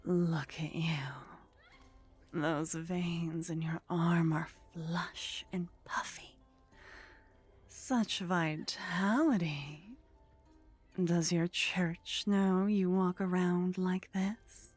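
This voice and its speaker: Woman voicing an ancient vampire seductress, breathy and husky, lowish pitch, teasing, haughty, ASMR roleplay, faint music behind. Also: steady tone, full character voice. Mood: teasing, seductive. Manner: slow, teasing, breathy murmur slipping into whispers. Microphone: close microphone.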